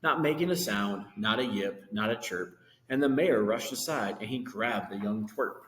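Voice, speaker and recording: A man's voice: lowish voice, normal speed, fairly bright tone, slight background noise. A man reading a children's storybook aloud, with casual asides about the pictures. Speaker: reader of a children's book. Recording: echoey room with long reverb.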